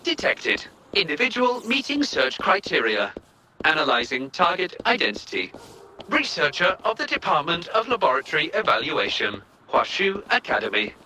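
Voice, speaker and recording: A man's voice: on the high side, huge pitch swings, normal speed, pushed and bright, exaggerated voice, rough recording. A man voicing a precise, literal robot assistant in a video game, with formal, clipped, report-like delivery. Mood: matter-of-fact. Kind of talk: scripted video game voice acting.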